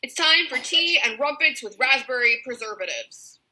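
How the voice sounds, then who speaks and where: Lady doing a big dumb sweet henchman guy from a kids book, fussy, bright lively voice. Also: high-pitched, voice pushed up, full character voice, poor audio. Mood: clueless. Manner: slow-witted, fussy, malapropisms. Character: hulking, dopey, good-natured henchman with mangled words. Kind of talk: children's storybook character voice.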